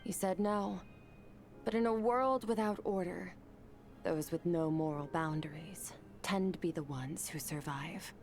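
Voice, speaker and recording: Low, composed sword master. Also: female voice. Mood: grave. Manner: calm, measured, quietly grave. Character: stern, composed female sword master, young adult. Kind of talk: video game voice acting. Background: game background music.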